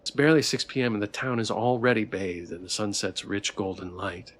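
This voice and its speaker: A man's voice: lowish voice, fairly quick. A man reading a visual novel aloud as a streamer, narrating the story of a game playthrough. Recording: background music. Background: music.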